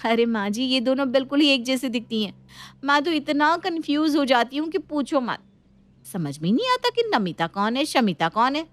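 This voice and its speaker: A woman's voice: sits high, lively intonation, moderate pace, fairly bright tone. A woman voicing a sly young sister-in-law in a story, putting on innocence and making excuses. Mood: falsely apologetic.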